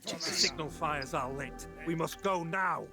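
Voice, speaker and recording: An actor playing a contemptuous, hard-hearted Spartan warrior in a historical video game, with a disdainful, sneering delivery. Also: male voice, a little high, unhurried, music in the background. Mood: urgent.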